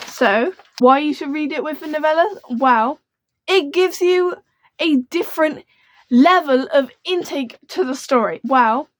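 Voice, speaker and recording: A young woman who speaks in a soft, quiet voice, chatting to camera about books in a rambling, gushing way. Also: high voice, animated, a little slow, dry recording. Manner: rambling and gushing, full of fillers. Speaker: book vlogger. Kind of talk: casual book chat to camera.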